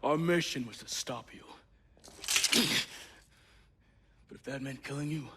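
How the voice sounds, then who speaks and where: A man's voice: mid-range voice, slow pace, heavy background noise, natural voice. A man acting as a bitter, unbowed captured soldier in a game cutscene, talking in terse, defiant phrases. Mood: defiant, dry.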